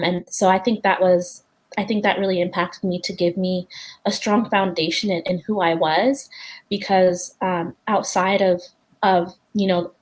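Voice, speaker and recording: A woman's voice: medium pitch, rough recording. A woman on a discussion panel, speaking as a community organizer. She is articulate and earnest, in a mid-range voice, and often starts her sentences with "um". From United States.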